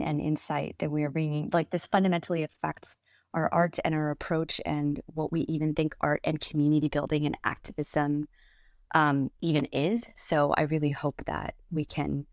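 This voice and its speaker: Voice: mid-range voice, creaky, dry recording, clean studio sound. A taiko performer and educator introducing their group on a podcast, rambling and full of filler words. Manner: rambling with many fillers and restarts.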